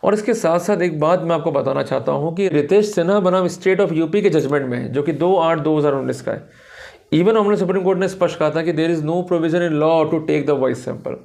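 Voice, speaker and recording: A man's voice: a little high, moderate pace, gravelly, darkish tone. A man lecturing on law in a didactic, emphatic way, explaining points to viewers and slipping into formal English phrases.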